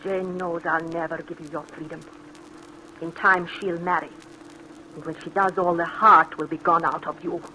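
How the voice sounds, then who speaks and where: An actress playing a possessive, jealous wife in an intense, theatrical old-time radio drama style. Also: female voice, on the low side, fairly even intonation, moderate pace, bright, forceful tone, slight background noise, rough recording. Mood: coldly menacing.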